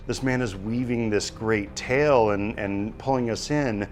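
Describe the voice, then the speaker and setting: A man's voice: on the low side, a little slow, a little hiss or hum. A man who works as a writer, talking reflectively in an interview about the craft. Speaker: screenwriter.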